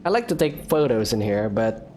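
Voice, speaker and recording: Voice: medium pitch, sing-song, moderate pace, music in the background. A gaming video host giving casual, chatty commentary while playing. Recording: faint background noise.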